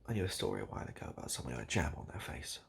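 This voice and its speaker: A British man doing improvised, absurd comedy straight to the listener in a hesitant, repetitive, deadpan ramble. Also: extremely low pitch, racing, gravelly, slight background noise. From United Kingdom. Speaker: comedic video host. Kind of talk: improvised absurd comedy roleplay addressed to the viewer.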